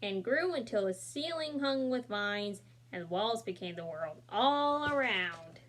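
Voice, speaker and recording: A woman reads a picture book to children at a steady, mid-pitched level. She brings expression to the characters' lines and stops to chat gently with young listeners between pages. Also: a little slow. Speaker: storybook reader. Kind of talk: children's read-aloud with asides.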